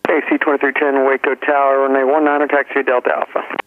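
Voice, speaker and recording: A man's voice: mid-range voice, level tone, quick pace, no echo. A man working as an air traffic controller, giving clipped, formal instructions over aviation radio. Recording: tinny narrow-band tower radio.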